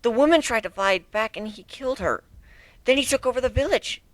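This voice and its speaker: Man voicing a timid, high-pitched young female griffin. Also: lively intonation, fairly quick, a bit bright, no room sound. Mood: subdued, explaining. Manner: hesitant, stammering. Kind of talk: dramatic fan fiction reading.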